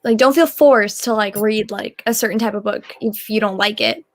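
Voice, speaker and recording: A woman chatting easily as co-host of a book livestream, agreeable and relaxed. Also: medium pitch, moderate pace. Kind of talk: casual chat.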